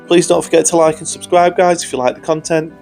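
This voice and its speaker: A young man with a cold-roughened voice, giving upbeat, chatty commentary over gameplay footage as a video host. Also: fairly high pitch, fairly even intonation, fast talker, a little mellow, soft background music. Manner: upbeat, chatty, says "guys" often. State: hoarse from a cold. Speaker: gaming YouTuber.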